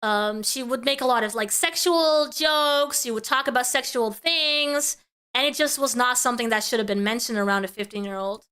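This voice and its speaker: A woman's voice: a little high, moderate pace, pushed and bright, clean tone. A woman giving casual live reaction commentary as a streamer, emphatic and exasperated in her opinions.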